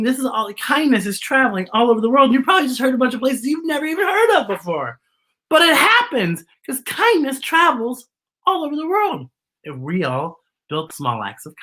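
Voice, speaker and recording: A woman's voice: deep voice, fairly bright tone. A woman hosting a children's storytime, reading a picture book aloud with chatty asides and explaining things expressively as if to young children.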